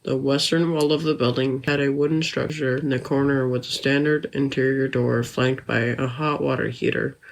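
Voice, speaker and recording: A man's voice: mid-range voice, fairly even intonation, normal speed, vocal fry, few pauses, natural voice, low-quality recording. A man playing an earnest, easily irked boy who narrates his adventure as a scripted podcast story. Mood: earnest, descriptive. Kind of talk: scripted first-person storytelling for a family podcast.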